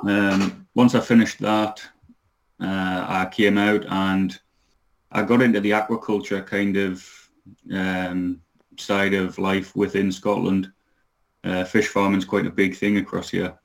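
A man in his thirties talks as a podcast guest, hesitating with frequent ums and uhs. His voice sits low, and his pitch stays fairly flat as he speaks. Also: slow pace, gravelly. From Newcastle, England. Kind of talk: casual interview.

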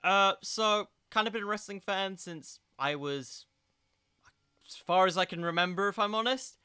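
A man's voice: high-pitched, normal speed, bright, forceful tone, clean tone, no echo, studio quality. A young man hosting an informal solo podcast, rambling along in a jokey, self-deprecating way. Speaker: podcast host.

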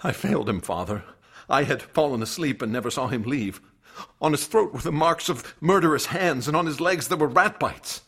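A man's voice: fairly high pitch, lively intonation, fairly bright tone, breathy edge, exaggerated voice. An actor playing a haunted young man recounting a friend's horrific death, in an intense radio drama style. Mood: sorrowful. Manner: intense, halting when distressed. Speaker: narrator and lead actor. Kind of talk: dramatic radio play narration. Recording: dramatic music under the voice.

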